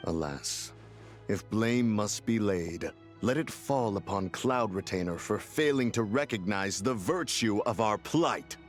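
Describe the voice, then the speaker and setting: A man's voice: lowish voice, animated, measured pace, soft background music. A man playing a stately, self-righteous immortal in a fantasy game, with formal, archaic diction. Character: stately immortal male adeptus, solemn, self-righteous and dryly sly. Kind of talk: fantasy video game voice acting.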